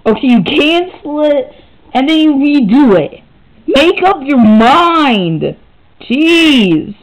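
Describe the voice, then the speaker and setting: A woman with a high-pitched voice comments off the cuff. Her talk is informal and blunt, with a grumbling, everyday manner. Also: big pitch swings, slow, poor audio. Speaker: amateur recorder of weather alerts.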